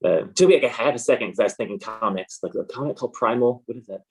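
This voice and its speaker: A man's voice: mid-range voice, rapid-fire, a little mellow, slightly rough, low-quality recording. An American man talking casually and informally as an interview guest, in a laid-back way. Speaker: interview guest, comic artist.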